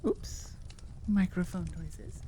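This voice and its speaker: A woman's voice: fairly low pitch, animated, slow pace, dark tone, a little hiss or hum. Chatty American woman, informal and rambling. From United States. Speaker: audio roleplay creator. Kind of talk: casual outro chat to listeners.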